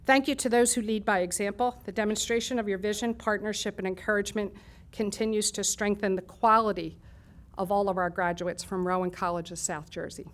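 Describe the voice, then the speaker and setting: A woman's voice: mid-range voice, steady tone, brisk. Middle-aged woman, college program leader, formal ceremony speech, reads long lists of thanks.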